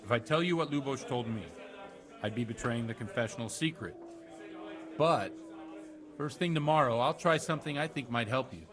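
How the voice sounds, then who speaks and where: An actor playing a worldly, avuncular middle-aged village priest with a measured manner in a medieval video game. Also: male voice, medium pitch, crowd noise, lightly acted. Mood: firm, reassuring. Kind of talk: video game cutscene dialogue.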